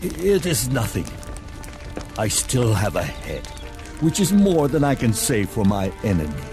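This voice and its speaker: Seasoned samurai lord, expressive delivery. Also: male voice, medium pitch, music in the background. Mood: stoic. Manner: measured, courtly, theatrical. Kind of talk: dramatic game cutscene acting.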